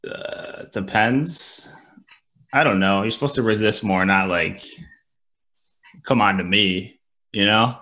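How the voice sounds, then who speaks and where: A young man with a deep baritone voice, teasing and deadpan in casual podcast banter, laughing often. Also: takes it slowly, pauses often. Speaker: podcast co-host.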